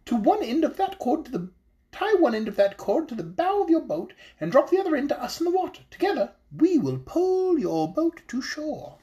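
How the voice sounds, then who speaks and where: A reader voicing a solemn enchanted talking fish, speaking in a raised, measured, instructive tone. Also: high voice, very animated, warm tone, voice pushed up. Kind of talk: audiobook character reading.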